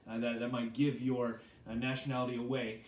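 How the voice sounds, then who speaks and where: A man's voice: medium pitch, level tone, far from the mic. A man asks long, rambling interview questions, stopping often to restart his sentences and dropping in short acknowledgments. He sounds set back from the mic in an echoey room with faint background hiss. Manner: halting, many ums and restarts, brief backchannels.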